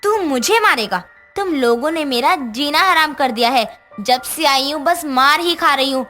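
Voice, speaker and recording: A woman's voice: sits high, animated, unhurried, pushed and bright, soft background music, full character voice. A woman playing a put-upon young daughter-in-law in a cartoon moral story, dramatically voiced. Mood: fierce, vengeful. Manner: dramatic, swings from meek pleading to menace. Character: put-upon young daughter-in-law, tearful, turning fierce when possessed.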